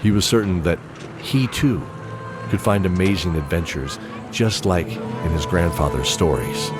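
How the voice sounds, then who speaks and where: A man's voice: sits low, unhurried. A man giving dramatic scripted narration of a fantasy adventure. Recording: loud music and effects under voice. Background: loud music.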